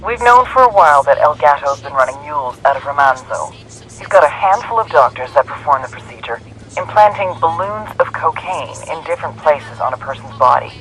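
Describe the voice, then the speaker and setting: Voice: medium pitch, expressive, fairly quick, soft background music, lightly acted, poor audio. A performer playing a blunt intelligence handler in a video game, briefing a squad matter-of-factly. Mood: hard-nosed briefing. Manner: matter-of-fact, forceful briefing.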